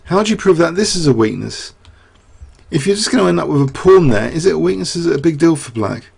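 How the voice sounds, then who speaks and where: Chess commentator, male, fairly high voice with a rough, raspy edge, thinking aloud, losing his voice. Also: sing-song, quick pace, a little mellow. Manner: rambling, thinking aloud with many fillers and rhetorical questions. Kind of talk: chess game analysis.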